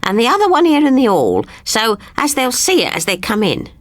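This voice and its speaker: A woman voicing a sharp, bossy, exasperated storybook queen who fusses and talks tartly and colloquially. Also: a little high, huge pitch swings, brisk, dry recording, clean studio sound, pitched above the performer's own voice. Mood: hasty, fussing. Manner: tart, colloquial, fussing.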